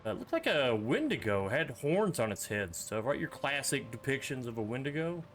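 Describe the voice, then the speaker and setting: A man's voice: medium pitch, big pitch swings, brisk, a little hiss or hum. A man giving casual commentary as he plays a horror game, reading screen text aloud with joking, offhand asides. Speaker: streamer playing a horror game.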